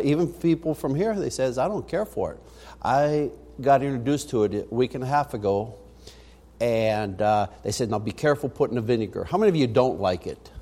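A man's voice: medium pitch, a little mellow. A man with a mixed American accent preaching as a missionary, telling chatty stories with many fillers and then preaching with emphasis. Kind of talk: folksy sermon.

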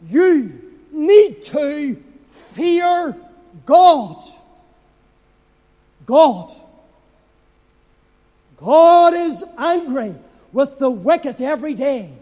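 A man preaching a fervent evangelistic sermon, solemn and emphatic, repeating phrases for effect and swinging between hushed and loud, impassioned delivery. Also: extremely high pitch, very animated, drawn-out words, long pauses. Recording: long hall reverb.

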